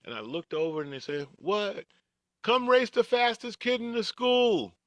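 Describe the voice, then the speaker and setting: Bright-voiced older man reminiscing. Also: high-pitched, very animated, moderate pace, no echo, dull audio. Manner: chuckles through anecdotes, acts out dialogue. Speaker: podcast guest telling life story. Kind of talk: casual reminiscing storytelling.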